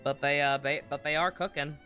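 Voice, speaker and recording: A bright, forceful man chats casually as a game streamer. He rambles with enthusiasm, and faint music plays behind him. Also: fairly high pitch, steady tone, brisk. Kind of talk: casual livestream commentary.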